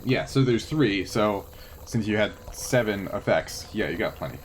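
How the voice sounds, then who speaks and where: A man's voice: lowish voice, expressive, normal speed, a little hiss or hum, low-quality recording. A man acting as game master, talking casually through a tabletop role-playing game in a jokey, easygoing way.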